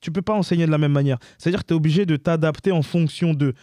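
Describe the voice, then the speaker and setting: A man's voice: a little high, fast, dark tone, dry recording, clean studio sound. A man in his thirties with a Parisian suburban French accent, talking casually and emphatically as an interview guest, slangy and repeating himself. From France, Paris suburb of Montreuil. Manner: emphatic, repetitive, colloquial. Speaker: interview guest, comedy creator.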